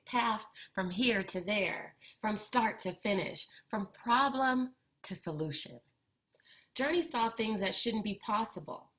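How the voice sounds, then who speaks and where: Female storyteller for children's read-aloud, unhurried and bright, expressive delivery. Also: medium pitch. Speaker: storyteller reading a picture book aloud.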